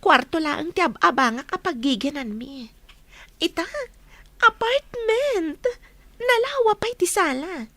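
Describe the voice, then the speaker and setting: A woman's voice: very high voice, lively intonation, a little slow, a bit bright, no room sound, exaggerated voice. A woman playing a scheming, sweetly affectionate young mistress in an Ilocano radio comedy drama, with an Ilocano accent. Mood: sweet, delighted.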